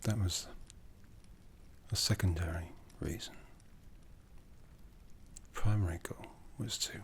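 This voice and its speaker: A man playing an ancient, jaded, aristocratic vampire in a breathy, half-whispered ASMR roleplay, haughty and seductive with long deliberate pauses. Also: low voice, heavily acted. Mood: weary, disdainful. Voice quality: breathy, often dropping into whisper.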